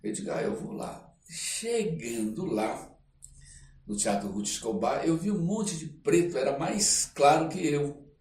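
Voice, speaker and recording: A Brazilian man from the Amazon telling anecdotes casually as an interview guest, acting out dialogue and often tagging phrases with "né". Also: a little high. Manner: animated, acts out dialogue, halting with "né" tags. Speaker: guest artist, actor telling life story. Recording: roomy echo, distant mic, faint noise.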